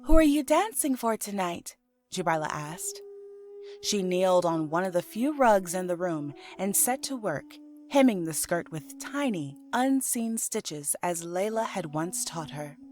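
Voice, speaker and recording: A woman reading scripted storybook narration in an expressive, unhurried manner. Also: lowish voice, soft background music. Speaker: author and narrator.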